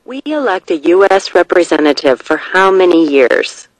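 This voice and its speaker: Someone reading out civics test questions n short answers, measured and deliberate, really dry recording. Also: medium pitch, big pitch swings, no echo, poor audio. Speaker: test study narrator.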